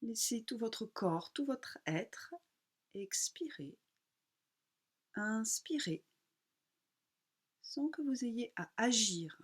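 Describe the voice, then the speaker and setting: A woman teaching yoga nidra, guiding a relaxation meditation calmly in short, unhurried phrases with pauses. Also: on the high side, slightly rough.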